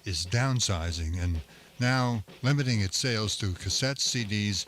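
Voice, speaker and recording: A man presenting an old-time radio programme in a measured, scripted announcing style. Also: lowish voice, a bit bright, a little hiss or hum.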